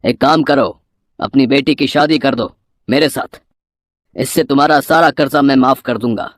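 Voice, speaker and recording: A man's voice: mid-range voice, moderate pace, no echo, studio quality, natural voice. Greedy, smug village moneylender played by a man. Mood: demanding, smug. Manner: menacing, smug, domineering. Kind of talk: Hindi moral story cartoon dialogue.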